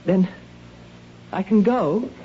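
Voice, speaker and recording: An actress playing an anxious, defiant housewife in a radio mystery, protesting in plain words. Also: female voice, mid-range voice, slow, close to an everyday voice. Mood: distressed. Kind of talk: radio mystery drama acting. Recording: dull, hissy old radio recording.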